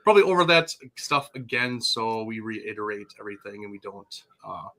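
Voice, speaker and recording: A man's voice: on the low side, animated, fairly quick, a bit bright. A man co-hosting a casual podcast, rambling with lots of fillers. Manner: rambling, filler-heavy, laid-back. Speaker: podcast co-host.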